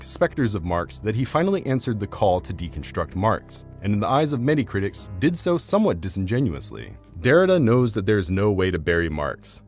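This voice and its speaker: A man reading scripted narration in a formal, argumentative, bookish manner. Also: low voice. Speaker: video essay narrator.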